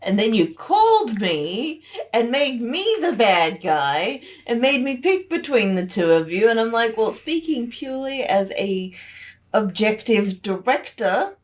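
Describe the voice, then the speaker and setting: A woman's voice: fairly high pitch, very animated. A woman giving casual director's commentary, bantering in a contrary, teasing way. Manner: contrary, teasing, quick to argue.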